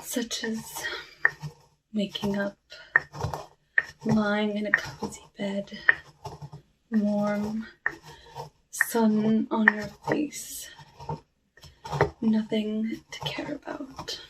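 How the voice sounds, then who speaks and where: Slow, hushed witch of the woods, played by a woman. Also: mid-range voice, level tone. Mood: melancholy. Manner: slow, hushed, wistful, halting. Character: softly eerie, lonely cursed witch of the woods. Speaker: ASMR roleplay performer. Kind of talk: ASMR roleplay, soft talking. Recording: clearly noisy with clicks.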